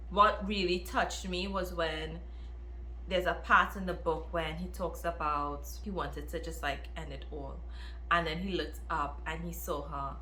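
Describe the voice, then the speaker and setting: A woman chatting casually to viewers as a book reviewer, with an emphatic, expressive manner that repeats phrases for emphasis. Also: lowish voice, a little hiss or hum. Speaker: book reviewer, video host. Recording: roomy, echoey room.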